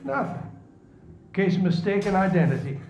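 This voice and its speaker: A sneering, insolent convict in a video game, loud and cocky but quick to panic. His high voice jumps around in an echoey space with music behind. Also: very animated, muffled recording. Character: cocky, sneering male prisoner, easily alarmed.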